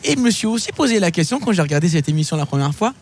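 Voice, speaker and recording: A man's voice: high-pitched, sing-song, rapid-fire. A man hosting a conversational interview, putting questions and comments to his guest. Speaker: interviewer, podcast host.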